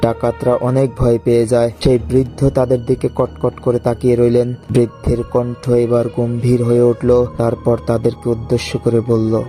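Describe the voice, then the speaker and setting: A man narrating a horror story in an even, measured manner. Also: mid-range voice, soft background music.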